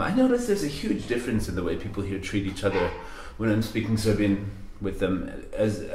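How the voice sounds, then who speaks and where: A man's voice: on the low side, a little mellow, echoey room, slight background noise. A man interviewing a business owner in casual conversation, rambling and hesitant, with many fillers and restarts.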